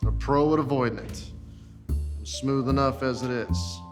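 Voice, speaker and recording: A man playing a shady tax schemer who speaks in a slow, cocky drawl. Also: mid-range voice, in a large hall, over music, natural voice. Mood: sly. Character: shady male tax schemer, smooth-talking and sly.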